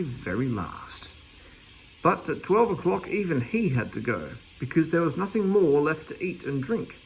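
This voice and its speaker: Guy reading a kids story out loud, really lively pitch, gravelly creak in the voice. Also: a little hiss or hum, rough recording. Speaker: storybook reader. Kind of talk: children's story read aloud.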